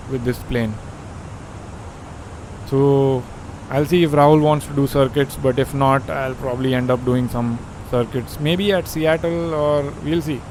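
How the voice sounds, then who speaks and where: A man's voice: fairly high pitch, darkish tone, a little hiss or hum, rough recording. Joking young Indian man streaming. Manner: joking, thinking aloud while flying. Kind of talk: casual live-stream chat.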